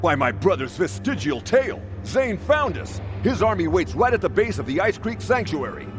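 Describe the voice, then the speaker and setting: An actor playing a hearty, blustering warrior who loves a fight, in a fantasy video game. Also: male voice, high-pitched, sing-song, normal speed, over music. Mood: bold. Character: boisterous male warrior with comic oaths, loves fighting.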